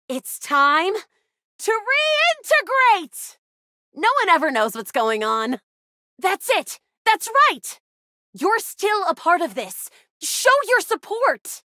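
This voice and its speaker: A woman's voice: sits high, fairly bright tone, dry recording, clean studio sound, full character voice. A young woman voicing a living video game as a playful, eager, theatrical adventurer character. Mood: grand, intense. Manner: theatrical, emphatic.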